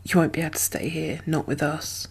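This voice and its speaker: A woman speaking softly and often whispering in an ASMR roleplay, gentle and halting, as a guarded but caring apocalypse survivor. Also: low voice, steady tone. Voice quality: soft, breathy, often whispered. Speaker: ASMR performer playing a role. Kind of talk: soft-spoken ASMR roleplay.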